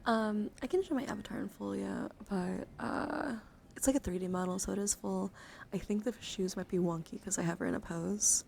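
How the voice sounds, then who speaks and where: A woman streaming ASMR as host, talking in a soft, breathy, often whispered voice, gentle and rambling as she addresses her viewers. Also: mid-range voice, moderate pace. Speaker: livestreamer and VTuber host. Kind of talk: ASMR, softly whispered livestream chat. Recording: clearly noisy ambient background.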